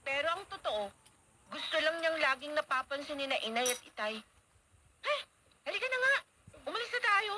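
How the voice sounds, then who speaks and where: An actress playing a spoiled, spiteful student daughter in a radio drama, snippy and dismissive. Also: female voice, sits high, big pitch swings, normal speed, long pauses. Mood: resentful. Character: spoiled, spiteful student daughter, scornful of her thrifty cousin. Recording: noisy radio drama broadcast.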